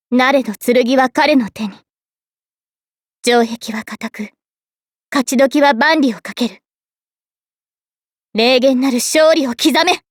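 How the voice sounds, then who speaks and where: A high, youthful female voice for a noble mage-knight in an anime game. She speaks formally and with resolve, like a dignified leader commanding in battle. The sound is dry and close. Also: dry recording. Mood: solemn, fervent. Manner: formal, crisp commanding delivery.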